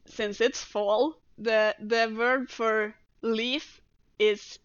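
Slow, smooth-voiced woman, dull sound. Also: a little high, fairly even intonation, no echo. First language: Norwegian. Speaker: guest artist. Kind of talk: casual chat.

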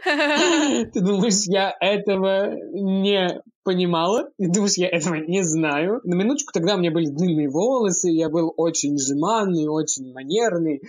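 A man's voice: high-pitched, normal speed, dry recording. A man who grew up in Jordan, a podcast guest telling his story in an emotional, mannered, self-mocking way. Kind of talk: casual interview storytelling.

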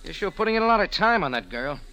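An actor playing a wry, tough American café owner and sleuth in a hard-boiled 1940s radio drama style. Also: male voice, high-pitched, very animated, racing, bright, forceful tone, full character voice. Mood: guarded, sardonic. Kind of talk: 1940s radio acting, first-person narration and dialogue. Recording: vintage radio recording.

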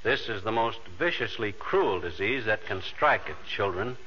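A man giving a scripted 1940s radio charity appeal in an earnest, measured way. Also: fairly low pitch, expressive, pushed and bright. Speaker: radio show star. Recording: muffled, noisy old radio recording.

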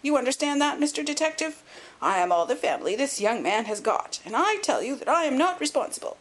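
A woman voicing a miserly, querulous little old lord in an audiobook, sharp and crackling, with a quick, indignant intensity. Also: high-pitched, big pitch swings, pitched above the performer's own voice. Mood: indignant, stingy. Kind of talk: audiobook character acting.

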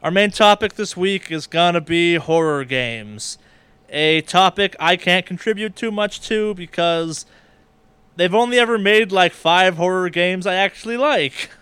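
A man with a clean, smooth and fairly high voice, recorded close and dry. He talks casually as a podcast host, with a wry edge and the odd swear. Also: unhurried, fairly bright tone, no room sound. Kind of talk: casual gaming podcast chat.

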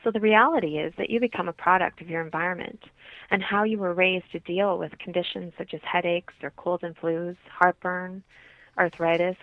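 Gravelly, lower-pitched woman, slightly muffled audio. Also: dry recording. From Canada. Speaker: holistic health practitioner, interview guest. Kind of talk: explanatory interview answers.

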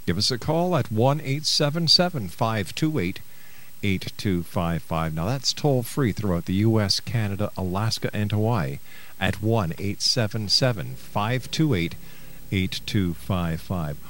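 Low-pitched male radio host. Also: lively intonation, a little slow, fairly bright tone. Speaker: radio talk show host. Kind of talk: radio interview and announcing. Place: radio studio.

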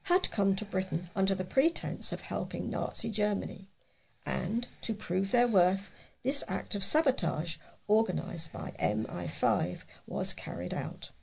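A woman reads local news aloud in a measured way. Her voice is mid-pitched, heavily creaky and noticeably husky, as a volunteer reader presenting articles to listeners. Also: expressive, darkish tone, rough recording.

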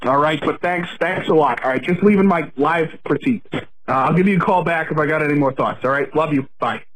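A man with a slightly raised pitch rants scornfully into a voicemail. The sound comes through as a phone-line message. He taunts and drags out his jabs. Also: a bit bright, a little hiss or hum. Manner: mocking, taunting, drawn-out asides. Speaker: voicemail caller. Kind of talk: hostile voicemail rant.

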